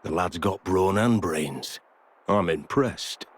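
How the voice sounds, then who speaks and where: A British actor playing a gruff, seasoned, laconic older adventurer in a video game cutscene. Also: male voice, low voice. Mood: measured, dry. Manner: laconic, measured.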